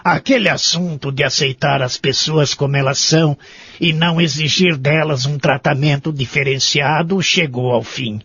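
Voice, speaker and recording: An actor playing a doubting, grumpy old man in an audio drama, speaking formally and reflectively with a husky, creaky voice. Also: on the high side, a bit bright, no room sound, dull audio, heavily acted. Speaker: narrator and lead character. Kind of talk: audio drama acting and narration.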